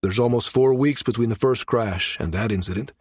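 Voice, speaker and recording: A man voicing a grave, inscrutable investigator with a husky, deliberate delivery in an audiobook. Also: medium pitch, a little mellow, dry recording, studio quality, natural voice. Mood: serious, probing. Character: grave, inscrutable male investigator, measured and probing. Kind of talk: audiobook character dialogue.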